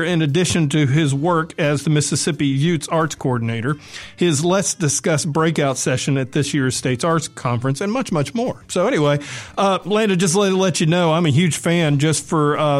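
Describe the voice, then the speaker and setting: A man with a light Southern accent hosts a radio interview, chatty and quick with jokes. His voice sits a little high for a man. He talks in a loose, friendly back-and-forth. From Atlanta, Georgia. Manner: chatty and jokey, quick asides. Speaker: radio show host.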